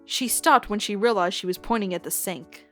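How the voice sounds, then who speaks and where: A woman narrating a scripted story in an expressive storytelling style. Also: medium pitch, normal speed. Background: music.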